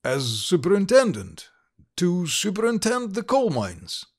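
A man reading aloud, voicing a kindly, unhappy gentleman who speaks mildly and unconcernedly. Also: sits high, wildly swooping pitch, unhurried, full character voice. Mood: calm. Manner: mild, unconcerned. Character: unhappy but kindly middle-aged gentleman.

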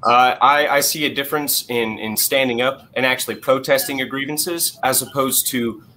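A man's voice: medium pitch, fairly even intonation, normal speed, pushed and bright, slight background noise. A man in his thirties arguing insistently on a video call through a headset, with stumbling restarts and repeated phrases. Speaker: guest. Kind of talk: heated debate on a video call. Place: small room at home.

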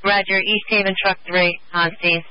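A man's voice: high voice, flat delivery, moderate pace, clean tone, no echo. A man working as a fire dispatcher, speaking in clipped procedural phrases over a two-way radio. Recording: muffled band-limited dispatch radio feed.

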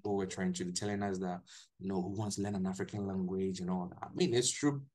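A young man with a Ghanaian accent, a guest telling his story in a relaxed way on a video call from a small room, often restarting his sentences. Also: sits low, fast talker. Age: young adult. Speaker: guest, English teacher. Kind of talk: casual video-call interview.

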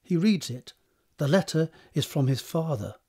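A man's voice: on the high side, animated, moderate pace, warm tone, breathy edge. A man narrating stage directions for an audio drama in a scripted reading style.

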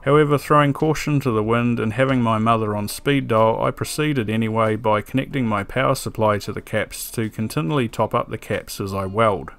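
A man giving a calm, methodical scripted voice-over for an electronics build tutorial, with a dry sense of humor. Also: on the low side, a little fast, few pauses. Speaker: electronics DIY video maker. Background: soft background music.

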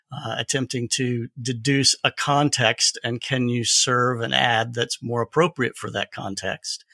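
A man with a mid-pitched, bright voice talks as an expert guest on a podcast. He explains in a thoughtful, conversational way. The sound is dry, with no room around it. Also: no echo.

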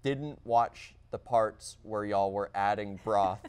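A man's voice: on the low side, takes it slowly, clean tone. A man hosting a show in a casual, chatty style. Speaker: show host. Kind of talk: casual online show chat.

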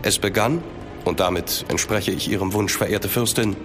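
An actor playing an older French marquis, a courtly, grave and measured storyteller in a German audio drama. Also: male voice, on the low side, fairly bright tone, soft background music. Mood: ominous, reminiscing.

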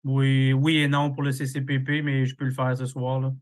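A man's voice: medium pitch, completely flat delivery. A man chairs a formal meeting over a video call, moving through procedure with frequent fillers. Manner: procedural, halting with many fillers.

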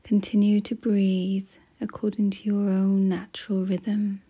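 A woman guides a meditation in a hushed, breathy voice. Her delivery is slow and soothing, with long pauses between phrases. The pitch stays in a middle range with very little movement, giving an even, gentle flow. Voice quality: soft, breathy, often near a whisper.

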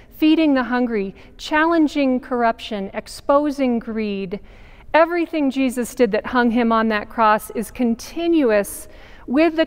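Slow, clear-voiced woman pastor preaching. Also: a little high. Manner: measured, deliberate preaching with emphatic pauses. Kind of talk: sermon. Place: large church sanctuary with pipe organ. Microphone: camera at a distance, wide sanctuary shot. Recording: long church reverb.

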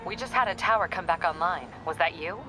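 An actress playing a no-nonsense, weary female police captain in a video game, brisk and dry. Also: lowish voice, over music, natural voice, poor audio.

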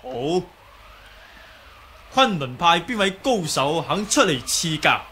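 A young martial hero speaking formal Hong Kong Cantonese. His voice is fairly high and expressive, with measured, slow phrasing. An old radio drama recording with light noise. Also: heavily acted. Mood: challenging. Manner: formal, composed.

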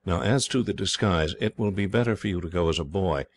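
A hearty young English officer talks in a very deep, steady voice, as a man reads him. He sounds cheerful and reassuring, laying out plans calmly like a storybook hero. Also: brisk, a little hiss or hum.

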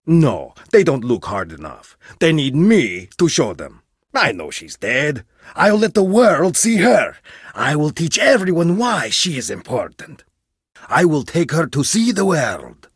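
A man's voice: mid-range voice, slightly rough, no room sound, exaggerated voice. An actor playing an arrogant, condescending male archaeologist in a video game, lecturing theatrically with a haughty manner. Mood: imperious. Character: arrogant egomaniac male archaeologist, middle-aged. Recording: dry studio booth.

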